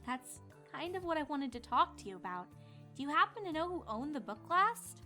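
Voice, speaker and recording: Woman voicing bright, high-pitched anxious young pony heroine. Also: brisk, soft background music, exaggerated voice. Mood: hesitant, earnest. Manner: hesitant, earnest, excitable. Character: young female pony princess student, anxious, earnest, easily flustered. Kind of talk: cartoon-style fan fiction dramatization.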